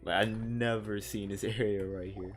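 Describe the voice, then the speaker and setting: A man's voice: on the low side, steady tone, normal speed, soft background music. A man chatting casually as he plays and comments on a video game. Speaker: gamer, streamer. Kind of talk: casual gameplay chat.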